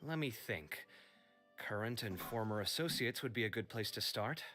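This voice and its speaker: A man playing a fretful, expressive young scholar in a fantasy video game, with theatrical exasperation. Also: fairly low pitch, normal speed, soft background music. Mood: pensive, troubled.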